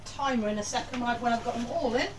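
A woman's voice: fairly high pitch, racing. An older woman patiently encouraging children in a home kitchen, giving casual step-by-step instructions. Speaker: grandmother leading children's baking. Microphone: handheld camera a few feet away. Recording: noisy handheld camera, distant mic.

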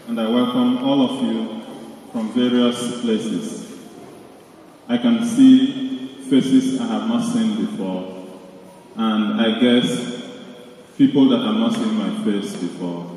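A middle-aged man, a priest giving a sermon in a deliberate, rhetorical way, repeating his phrases. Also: mid-range voice, mellow. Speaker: priest preaching. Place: large stone church, indoors. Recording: very echoey church hall, distant mic, noise.

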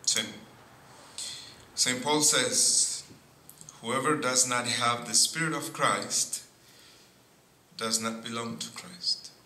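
A middle-aged priest preaches from a pulpit at a very slow, measured pace. His voice is sharp and bright. The boom mic catches the echo of the church and a faint hiss. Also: fairly even intonation. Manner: slow, deliberate preaching with pauses and frequent "see". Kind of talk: sermon. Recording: echoey church with faint noise.

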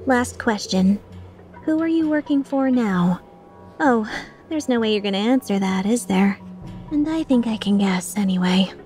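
A woman's voice: mid-range voice, lively intonation, unhurried, darkish tone, faint music behind, lightly acted. A woman voicing a playful, taunting young mercenary villain in a game fan dub, sardonic and mock-sincere. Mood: gleeful taunting.

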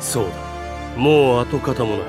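A man playing a composed, resolute young noble lord in a Japanese video game, speaking in a formal, measured, solemn way. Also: medium pitch, over music, lightly acted. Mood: cool, probing.

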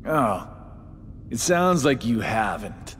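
A man's voice: medium pitch, big pitch swings, slow, a little hiss or hum. A man voicing a mocking, cocky gunslinger villain in a video game cutscene, with a theatrical drawl. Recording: long hall reverb under loud music.

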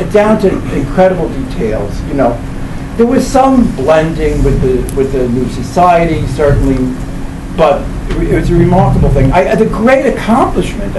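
A man in his seventies giving an informal lecture to a live audience in a halting, discursive way, circling back over his phrases. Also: on the high side. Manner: halting, discursive, with repeated phrases and self-corrections. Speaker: professor giving a book presentation. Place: indoor library event room. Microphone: camera mic a few meters away. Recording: roomy, distant mic with loud background noise.

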